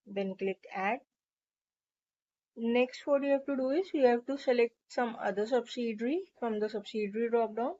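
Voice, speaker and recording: A woman with a fairly high voice talks through an on-screen process step by step. Her delivery is instructional and explanatory, as a tutorial presenter. The recording is slightly dull and lo-fi. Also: moderate pace, a little mellow. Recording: dull, lo-fi microphone sound.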